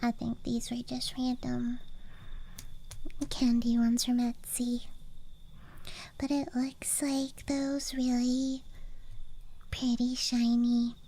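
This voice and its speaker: A woman doing a whispered ASMR show-and-tell, murmuring softly and breathily to the listener in an unhurried way, as the host. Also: fairly high pitch, completely flat delivery. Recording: faint background noise, outdoor night ambience. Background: faint rural night ambience sounds.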